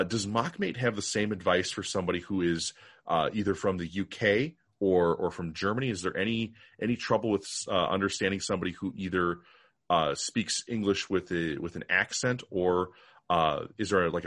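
A man's voice: sits low, moderate pace, a bit bright. A man with an American accent hosting an interview in a casual, wordy and enthusiastic way. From United States. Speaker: podcast host.

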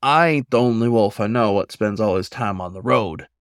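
A man's voice: lowish voice, moderate pace, dry recording, studio quality, natural voice. A man voicing an older male werewolf trucker in a story, frank and reflective, with folksy rustic phrasing. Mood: easygoing, frank. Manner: rustic folksy drawl wording.

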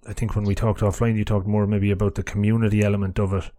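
Low-voiced man hosting a podcast. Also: little pitch movement, quick pace, warm tone. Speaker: podcast host. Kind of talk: casual interview questions.

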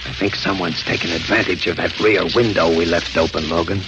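An actor playing a shrewd, wisecracking crime photographer in a 1940s radio drama, with a wry, confident manner. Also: male voice, sits low, fairly even intonation, fairly quick, dull audio, natural voice. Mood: hushed, tense. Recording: faintly noisy old 1940s radio recording.

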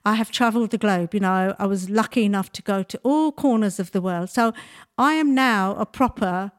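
A woman's voice: mid-range voice, normal speed. A woman in her sixties who grew up in Nigeria and speaks Bengali as her first language, telling childhood stories as a guest and laughing often. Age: 60s. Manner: lively storyteller, laughs often. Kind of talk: casual interview chat.

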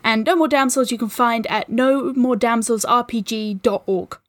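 Higher-voiced New woman, podcast guest. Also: normal speed. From New Zealand. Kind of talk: casual chat.

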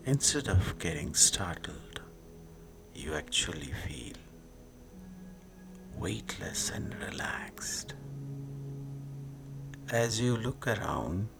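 Very deep, slow male meditation guide. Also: expressive, gravelly. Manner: soothing, slow, hushed, short phrases with long pauses. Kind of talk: guided sleep meditation narration. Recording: music behind the voice. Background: meditation music.

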